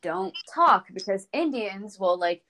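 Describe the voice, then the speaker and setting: Young woman, American accent, mid pitch. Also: big pitch swings, a little slow, bright, forceful tone. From United States. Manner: informal, explanatory, anecdotal, frequent "like". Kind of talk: candid personal group discussion.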